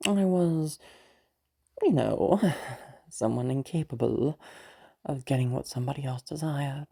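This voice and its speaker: A woman playing a sly, teasing female demon of lust in an audio roleplay, bargaining patiently in a breathy, creaky voice with halting pauses and whispers. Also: very deep voice, dark tone, heavily acted. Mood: confiding.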